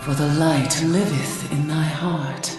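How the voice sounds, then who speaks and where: An actress voicing an ancient, serene crystal goddess in a fantasy game, speaking in stately, reverent, archaic phrases. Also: female voice, low voice, steady tone, a little slow. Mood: solemn, tender. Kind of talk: solemn fantasy game voice acting. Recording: heavy echo, orchestral music behind.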